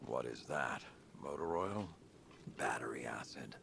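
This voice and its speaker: A man playing an ancient, stern, weary warrior lord with a breathy growl, measured and brooding, in a video game cutscene. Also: a bass voice, animated, a bit bright, a little hiss or hum, exaggerated voice. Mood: suspicious.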